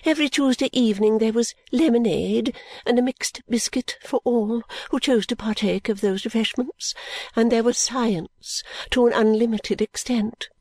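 A woman's voice: a little high, moderate pace, a little mellow. A woman reading an audiobook, voicing a proud, self-assured middle-aged lady who lectures at length.

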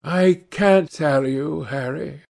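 Male narrator as anxious young gentleman, melancholy, self-absorbed, plaintive, raised pitch, audiobook character. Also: expressive, unhurried, vocal fry, exaggerated voice. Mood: sad, anxious. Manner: plaintive, earnest, polite.

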